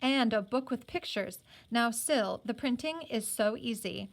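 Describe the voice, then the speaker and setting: A woman's voice: a little high, expressive, unhurried. Lady reading as young apprentice boy in a storybook, kind, eager, encouraging.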